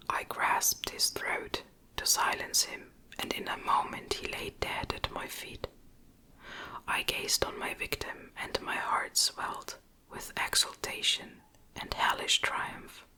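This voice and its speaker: Female ASMR audiobook reader, fully whispered, unhurried and deliberate, with a very bright, airy edge. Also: rough recording. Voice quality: soft, breathy, unvoiced whisper. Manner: slow, gentle, deliberate reading. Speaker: audiobook narrator.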